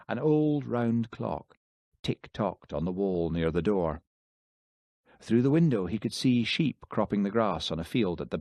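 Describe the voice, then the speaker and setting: Male audiobook narrator, lowish pitch with animated intonation, close dry recording, scripted fiction read. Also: dry recording.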